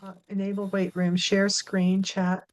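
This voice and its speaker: A woman's voice: mid-range voice, monotone, measured pace, poor audio. A woman in a virtual meeting, chattily reading out menu options as she tries to find a setting. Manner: chatty, reads menu items aloud. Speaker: commission member, temporary meeting host.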